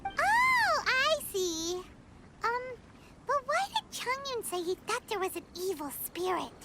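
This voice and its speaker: Casting: tiny girlish game sidekick, extremely high squeaky voice, swooping intonation, curious and excitable. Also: very noisy, heavily acted. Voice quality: squeaky, childlike. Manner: exclamatory, inquisitive, drawn-out words. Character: tiny excitable floating fairy companion, girlish and cute. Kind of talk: video game voice acting.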